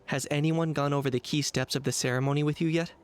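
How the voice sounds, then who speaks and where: A man voicing a cool, composed young warrior guide in a video game, measured and matter-of-fact. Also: on the high side, steady tone, a little hiss or hum, close to an everyday voice. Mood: calm, instructive. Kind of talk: video game character acting.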